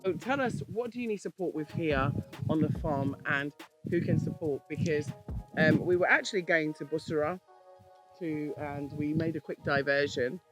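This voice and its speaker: A woman's voice: low-pitched, normal speed, low-quality recording. Woman host, eager and upbeat, little music behind. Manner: enthusiastic, appealing for support. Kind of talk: casual interview. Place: outdoors on a farm.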